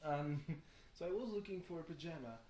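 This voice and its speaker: A tired young man rambles casually through a video diary, halting and thinking aloud, often questioning himself. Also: fairly high pitch, warm tone. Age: young adult. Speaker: video blogger. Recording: roomy echo, faint background noise.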